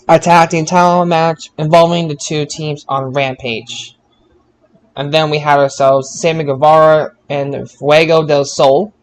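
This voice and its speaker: A man's voice: fairly high pitch, rough recording. A man co-hosting a podcast, recapping shows in an informal, bantering way and stumbling over his words now and then. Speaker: podcast co-host. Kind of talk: casual wrestling show recap.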